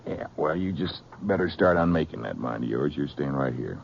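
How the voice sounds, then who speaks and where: Casting: stern frontier sheriff, American rural drawl, very deep voice, muffled vintage radio western sound. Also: male voice, expressive, moderate pace, vocal fry, slight background noise, full character voice. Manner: folksy rural drawl, bossy. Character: gruff, domineering older brother, small-town Old West sheriff. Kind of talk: old-time radio western acting.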